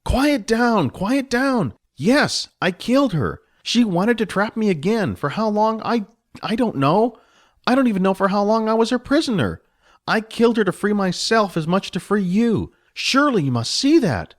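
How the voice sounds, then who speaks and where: A man's voice: high voice, sing-song, moderate pace, voice pushed up. Jess, a man doing an earnest young hero. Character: earnest young man, confused but kind.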